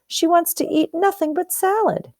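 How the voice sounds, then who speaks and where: A woman's voice: very high voice, fairly even intonation, moderate pace, a little mellow, no room sound, clean studio sound, voice pushed up. A woman voicing a brash, easily exasperated male palace cook in a storybook, exclaiming with emphasis.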